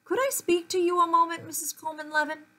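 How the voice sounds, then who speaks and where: A woman's voice: very high voice, little pitch movement, fast talker, sharp tone, pitched above the performer's own voice, heavily acted. A woman voicing an anxious, polite and earnest schoolboy in a storybook reading. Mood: nervous, polite.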